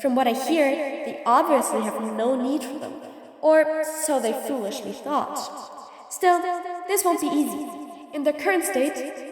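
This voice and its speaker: Woman acting high-pitched, theatrical scheming supervillain. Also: slightly rough. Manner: theatrical, mocking, formal wordy phrasing. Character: sly supervillain, theatrical, impatient, scheming, grudgingly sympathetic. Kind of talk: scripted audio roleplay monologue.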